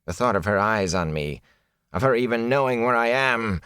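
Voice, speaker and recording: A man voicing a fearful, sly and boastful ragged peddler in an audiobook, with a rasp, a whine and folksy rustic phrasing. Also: medium pitch, animated, normal speed, sharp tone, no room sound, studio quality, voice pushed up. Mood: bitter, whining. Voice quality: raspy, sometimes dropping to a hoarse whisper.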